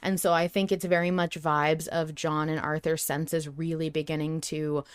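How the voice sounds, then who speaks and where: Female podcast co-host, smooth and dry-recorded, talking through ideas conversationally. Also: sits low, level tone, normal speed, dry recording. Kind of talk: casual discussion of fan theories.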